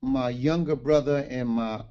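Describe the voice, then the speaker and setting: Mellow-voiced New man, lo-fi audio. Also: medium pitch, measured pace. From Brooklyn, New York. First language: Garifuna. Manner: relaxed and plainspoken, with street slang. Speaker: guest author. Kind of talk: casual interview on a livestream.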